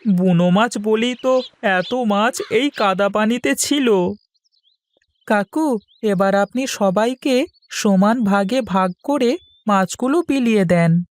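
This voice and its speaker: Woman voicing an earnest, slow-talking village boy. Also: mid-range voice, close to an everyday voice. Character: young village boy, eager, respectful, mud-covered fisher. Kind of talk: cartoon voice acting.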